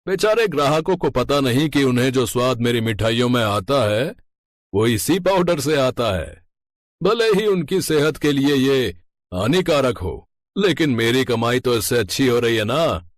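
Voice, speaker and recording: A man playing a greedy, scheming, boastful village sweet-shop owner in an animated moral story. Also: mid-range voice, unhurried, dry recording, close to an everyday voice. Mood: gloating. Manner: gloating, dismissive, boastful.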